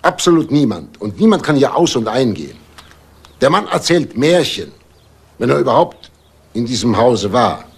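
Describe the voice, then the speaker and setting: An actor playing an indignant homeowner in a crime drama, speaking formally and haughtily with a slightly husky voice. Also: male voice, medium pitch, big pitch swings, moderate pace, exaggerated voice. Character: indignant, well-off married male homeowner under questioning. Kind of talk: German TV crime drama acting.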